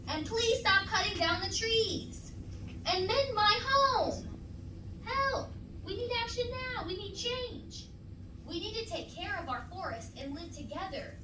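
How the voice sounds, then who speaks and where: A woman voicing storybook protesters who cry out loudly and urgently. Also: lively intonation, unhurried. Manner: loud, urgent, high exclamations. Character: anxious crowd of protesting people and animals crying out. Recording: roomy, distant mic, noisy home recording.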